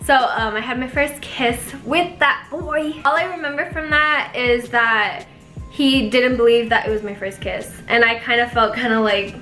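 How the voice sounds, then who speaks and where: Young female YouTuber, bright tone, casual filler-heavy chat, echoey room with faint music. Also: on the high side, normal speed. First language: Spanish. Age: young adult. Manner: chatty, filler-heavy, giggly asides.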